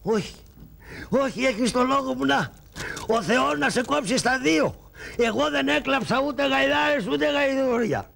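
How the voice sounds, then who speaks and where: A man voicing a poor, cheeky male trickster in a shadow-puppet play, full of mocking wisecracks and loud street-crier shouts. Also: very high voice, creaky, exaggerated voice. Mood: griping. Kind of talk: Greek shadow-puppet theatre.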